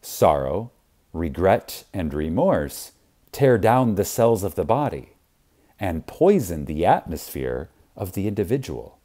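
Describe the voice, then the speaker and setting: A man reads an audiobook in a low, mellow voice with widely varied intonation. His delivery is reverent and emphatic. He leaves deliberate pauses for effect. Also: sing-song. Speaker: audiobook narrator. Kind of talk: scripted audiobook narration.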